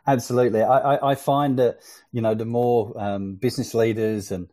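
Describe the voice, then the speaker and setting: A man's voice: mid-range voice, steady tone, normal speed, warm tone. A man hosting a business podcast, talking in a conversational way with frequent fillers and restarts. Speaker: podcast host.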